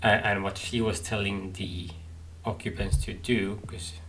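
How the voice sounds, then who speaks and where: A man hosting a game playthrough, giving thoughtful commentary in a halting way, as if working it out aloud. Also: fairly low pitch, little pitch movement, fairly bright tone, slight background noise, rough recording. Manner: halting, thinking aloud with long pauses. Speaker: gaming playthrough host.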